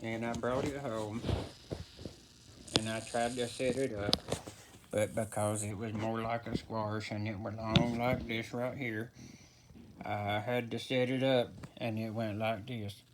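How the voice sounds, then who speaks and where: A man's voice: fairly low pitch, flat delivery, a little slow, lightly acted. A man voicing a rambling, easily flustered old folksy storyteller who halts and repeats himself in a comic tall tale. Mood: rambling storytelling. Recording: noisy home recording with clicks.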